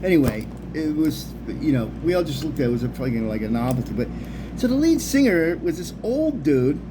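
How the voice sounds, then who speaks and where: A man's voice: fairly high pitch, fairly quick. A middle-aged man with an American accent telling a casual, rambling story in loose, slangy, sweary talk. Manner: animated slang-heavy talk with frequent swearing. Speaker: storyteller, video host. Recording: clearly noisy background hum.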